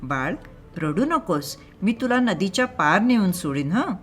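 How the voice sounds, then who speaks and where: A woman voicing a mighty male demon who has turned kindly and devout, speaking gently and earnestly in an audio story. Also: mid-range voice, big pitch swings, unhurried, faint music behind. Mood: soothing.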